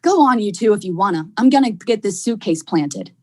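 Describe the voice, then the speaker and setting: A woman's voice: medium pitch, expressive, fairly quick, darkish tone, close to an everyday voice. A young woman acting a tough, dismissive male crook in vintage radio drama style, with folksy slangy delivery. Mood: bossy, impatient. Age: young adult. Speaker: student actor.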